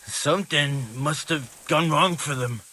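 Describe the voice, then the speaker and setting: An actor playing a rough, defiant male villager in a video game, with a husky voice and blunt, coarse delivery. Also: a little high, a little slow, sharp tone. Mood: indignant. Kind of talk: video game dialogue acting.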